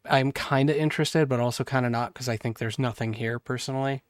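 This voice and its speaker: Guy hosting a casual chat, clean dry sound, smooth voice, skeptical and explainy. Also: medium pitch, fairly even intonation, fast talker, no echo. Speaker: show host. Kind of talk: casual reaction talk.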